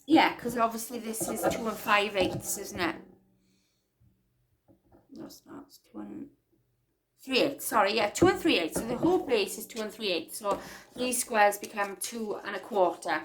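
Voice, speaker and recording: A woman's voice: medium pitch, normal speed, background noise. A woman with a Welsh accent hosting a casual craft tutorial, chatting and thinking aloud as she works at her desk. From Wales. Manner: chatty, rambling, thinks aloud while working.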